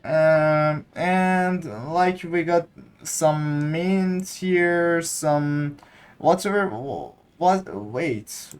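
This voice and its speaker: Slow-talking Iranian man, hesitant. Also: a little high, smooth. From Iran. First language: Persian. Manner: hesitant, searching for English words. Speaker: gaming content creator. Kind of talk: casual gameplay commentary.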